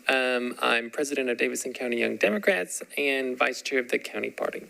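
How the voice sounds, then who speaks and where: A man's voice: medium pitch, a little fast, vocal fry, sharp tone, slight background noise, rough recording. A young man introducing himself at a meeting, playful and light. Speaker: political organizer.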